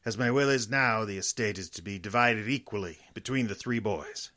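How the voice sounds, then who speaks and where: Radio drama: male actor as rich father, late sixties, low forceful voice, hesitant and distrustful. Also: normal speed. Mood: bitter. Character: wealthy 68-year-old father, suspicious of his parasitic sons.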